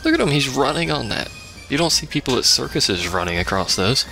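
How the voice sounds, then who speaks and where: A man's voice: mid-range voice, very animated, brisk, gravelly, sharp tone. A man doing casual let's play commentary, full of wry, sarcastic asides. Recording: game music behind the voice.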